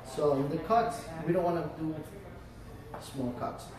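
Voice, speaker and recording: A man's voice: fairly high pitch, unhurried, very noisy. A man working as a chef, walking through a cooking demonstration step by step, with many fillers along the way. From Florida. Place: restaurant. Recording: echoey room, mic far off.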